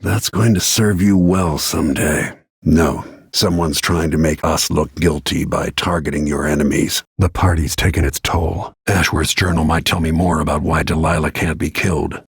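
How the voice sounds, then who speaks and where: A man voicing a grim, battle-worn middle-aged royal protector and assassin in a video game, with terse, brooding, measured delivery and a gravelly, husky voice. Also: a bass voice, steady tone, no echo, studio quality, exaggerated voice. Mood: grim, resolute. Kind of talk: scripted video game character lines.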